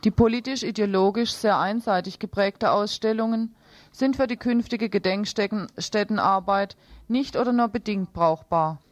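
A woman co-presents radio news, reading out reports and announcements. Her voice is of average pitch and is heard dry, with very little room sound around it. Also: normal speed, no room sound.

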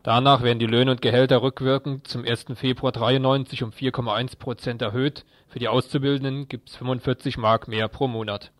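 Male radio news reporter, medium pitch, scripted read, dry acoustic. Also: flat delivery, brisk, few pauses, dry recording.

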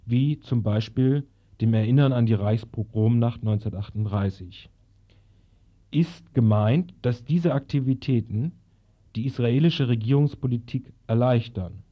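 Dull-sounding recording, man reading formal statement. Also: mid-range voice. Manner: formal, measured, deliberate phrasing. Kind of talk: reading a prepared political statement.

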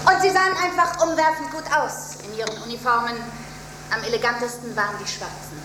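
An actress playing a commandant's wife in a theatrical monologue, looking back with halting, dramatic rapture, hushed and fervent by turns. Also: female voice, fairly high pitch, recorded from a distance, lightly acted. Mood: admiring, hushed. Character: aging Nazi camp commandant's wife looking back, enraptured. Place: theatre stage, recorded live from the room. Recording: echoey, very noisy live theatre recording.

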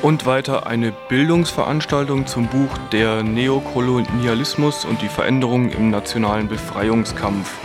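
Man, mid-range voice, reads local radio event notices with clear guitar music underneath. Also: mid-range voice. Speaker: radio presenter. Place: radio studio.

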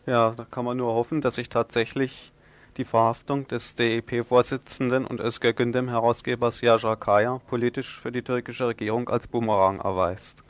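A man's voice: medium pitch, little pitch movement, moderate pace. A man hosting a radio news magazine, presenting and interviewing.

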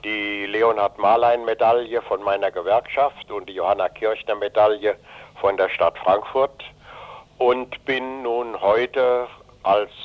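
Radio interview guest: man, 80s, from Germany, emphatic and deliberate with pauses, dull band-limited sound. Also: fairly low pitch. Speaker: interview guest, retired journalist and anti-fascist activist. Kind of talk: radio interview, political account.

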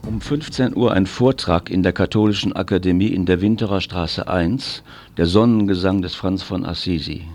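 Low-pitched man reading radio event listings. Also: moderate pace, darkish tone, soft background music. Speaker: radio presenter.

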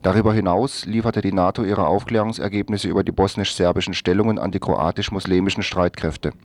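A man's voice: low-pitched, steady tone, fairly quick, dry recording. A man reading a scripted news report on the radio.

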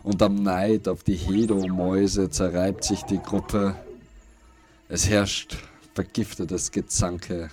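A man reading scripted literary narration for a dystopian radio play in a measured, grave storytelling manner. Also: sits low, little pitch movement, darkish tone. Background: prominent music behind the voice.

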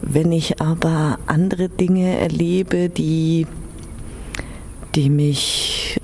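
A woman's voice: fairly even intonation, extremely slow, a little mellow. A woman giving a thoughtful personal interview account, searching for words and now and then repeating them. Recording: clearly noisy with low rumble.

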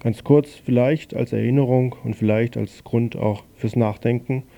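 A man presenting on community radio, reading out a newspaper commentary in a measured, pointed way. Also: mid-range voice, steady tone, creaky, warm tone. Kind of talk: reading a press commentary on air.

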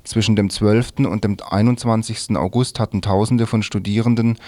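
A man's voice: fairly low pitch, fairly even intonation, brisk, mellow, no echo. A man reading scripted news as a radio presenter.

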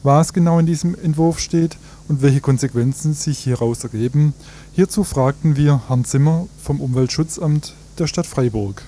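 A man's voice: fairly high pitch, normal speed, mellow, slight background noise. A man working as a radio interviewer and presenter, reading scripted questions and introductions.

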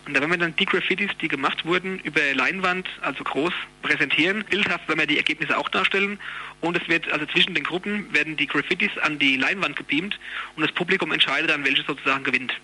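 A man's voice: fairly high pitch, fast, sharp tone, husky, rough recording. A man giving an informal interview as a union youth representative, explaining things in a colloquial way.

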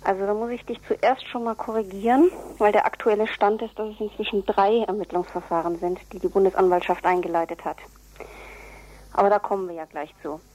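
Matter-of-fact woman with a soft-toned, mellow voice. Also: mid-range voice, steady tone, a little fast, poor audio. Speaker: newspaper editor as interview guest. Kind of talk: explanatory interview answers.

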